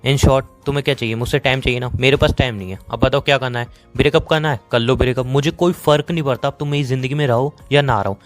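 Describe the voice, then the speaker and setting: A man's voice: medium pitch, steady tone, soft background music, lightly acted. A man with an Indian accent playing a sarcastic, dismissive young boyfriend in a phone-call romance drama. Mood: cold, dismissive. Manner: sarcastic, dismissive, rapid-fire. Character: bitter, sarcastic young boyfriend fed up with his girlfriend.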